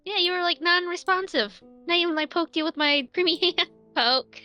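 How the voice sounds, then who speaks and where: A woman's voice: extremely high pitch, moderate pace, pushed and bright. A woman player in a tabletop roleplaying game, speaking casually and playfully as her character. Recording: music under the voice.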